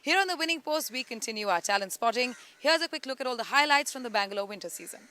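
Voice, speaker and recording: A woman hosting a racing show, delivering links briskly and upbeat. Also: fairly high pitch, expressive, noisy background. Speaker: show host. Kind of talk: presenter links.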